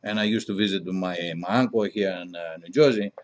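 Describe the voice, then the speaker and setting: Middle-aged man, low-pitched voice, Greek accent, native Greek speaker, halting phrasing, frequent pauses, conversational interview guest. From Greece. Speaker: interview guest, diner owner.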